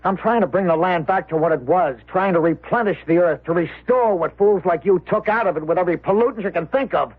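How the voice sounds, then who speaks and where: An actor playing an earnest, defiant farmer arguing insistently in an old radio drama. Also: male voice, sits high, a little fast, few pauses, husky. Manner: argumentative, insistent. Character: earnest, stubborn farmer son-in-law, defiant idealist. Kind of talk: 1970s radio drama acting. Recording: thin, phone-like old radio recording.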